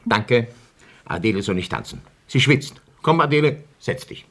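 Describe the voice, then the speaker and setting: An actor playing a blustering, domineering middle-aged town councillor in a radio play, pompous and patronizing. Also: male voice, mid-range voice, expressive, normal speed, fairly bright tone. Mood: patronizing, bullying.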